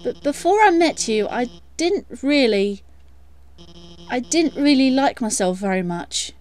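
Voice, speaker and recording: A woman's voice: sits high, animated, a little slow, darkish tone. A woman voicing a shy, nervous lizard scientist in a game, stammering and hesitant. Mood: anxious, confessional. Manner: hesitant, self-doubting, earnest. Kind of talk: voiced game dialogue.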